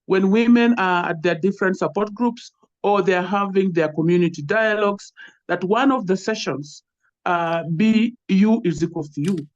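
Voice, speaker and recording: A Kenyan woman speaks over a video call as a panelist, walking through her points one by one in an earnest, explaining way. Her voice sits at a middle pitch. The audio is dull and lo-fi. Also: moderate pace. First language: Luo. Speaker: webinar panelist. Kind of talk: online panel talk.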